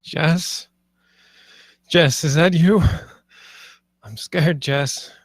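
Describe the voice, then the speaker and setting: A man's voice: fairly high pitch, very slow, no echo. An actor at a screenplay table read playing Michael, a paranoid, violent and frightened man who is by turns menacing and pleading. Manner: volatile, menacing then pleading.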